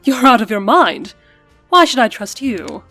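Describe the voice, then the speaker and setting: A woman's voice: a little high, very animated, a little slow, pushed and bright, soft background music, full character voice. A woman reading a bitter jailed male lawyer. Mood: indignant. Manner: defensive, bitter retorts. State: tired, weak voice. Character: indignant male lawyer held in a cell.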